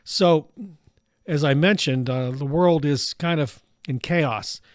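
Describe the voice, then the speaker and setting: A middle-aged American man hosting a political news commentary show, his voice raspy from allergies, talking in a steady, measured way with frequent "uh" fillers. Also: fairly high pitch, no room sound, studio quality. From United States. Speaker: show host.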